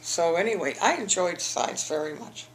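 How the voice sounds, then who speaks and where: A woman's voice: on the low side, animated, normal speed, soft background music, poor audio. Older woman telling memories, rambling. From eastern United States. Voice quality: rambling storyteller with restarts. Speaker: interviewee, alumna. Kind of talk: oral history interview.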